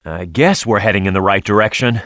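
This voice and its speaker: A man's voice: fairly low pitch, brisk, a bit bright, no echo, studio quality, close to an everyday voice. A man voicing a tough, hot-headed echidna brawler in a video game, proud and blunt in manner. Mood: easygoing.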